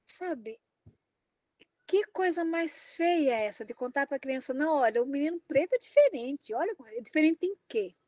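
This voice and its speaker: A middle-aged woman with a Brazilian Portuguese accent delivers an impassioned talk, her voice high and bright. She exclaims and presses each point with open indignation. The sound is dry and close. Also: no room sound. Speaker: invited lecturer.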